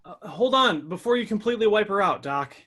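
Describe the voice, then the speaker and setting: Military lawyer, male actor: earnest, probing, halting speech, slightly high register, screenplay drama read. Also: expressive, bright, forceful tone, slightly rough, heavily acted. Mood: puzzled, probing. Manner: hesitant, halting questioning. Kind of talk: screenplay table read acting.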